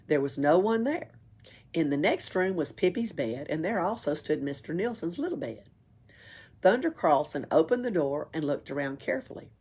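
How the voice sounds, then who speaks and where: A woman's voice: low-pitched, a little mellow, husky. A woman reading a children's book aloud expressively, as at a library storytime.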